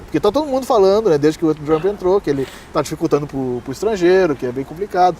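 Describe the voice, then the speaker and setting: A man's voice: a little high, expressive, fast talker, mellow, a little hiss or hum. A man hosting an interview, asking questions and backing his guest up with frequent "aham". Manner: affirming, frequent "aham" and laughs. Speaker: interviewer, channel host. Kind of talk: casual interview chat.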